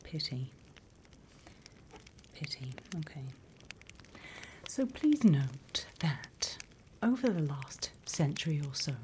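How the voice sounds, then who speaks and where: A sly demon schoolmistress acted by a British woman murmurs and whispers in a low, unhurried British voice. The delivery is ASMR roleplay, mock-stern and teacherly with a teasing threat underneath. Some clear background noise sits behind the close recording. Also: a little mellow, heavily acted. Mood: menacing, dry. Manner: slow, deliberate, teacherly, teasing menace.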